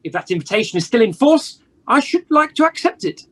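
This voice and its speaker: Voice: mid-range voice, sing-song, a bit bright, breathy edge. Someone acting a theatrical, repentant old miser. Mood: grandly earnest. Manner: theatrical, deliberate, emphatic. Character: reformed old miser uncle, gleefully repentant, teasing. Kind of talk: amateur radio play acting. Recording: home video call audio.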